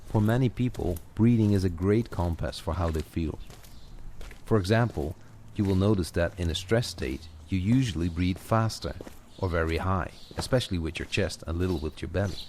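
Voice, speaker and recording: Low-voiced man guiding a listener, background noise. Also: moderate pace, darkish tone. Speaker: coach guiding a walking audio session. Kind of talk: guided coaching talk, conversational, addressed to one listener. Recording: clear background noise of outdoor walk effects. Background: added walking footsteps, garden birds, ambience.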